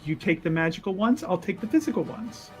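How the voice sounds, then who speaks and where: A man's voice: high voice, very animated, brisk, faint music behind. A man chatting casually as a player in a tabletop roleplaying game, slipping partly into character.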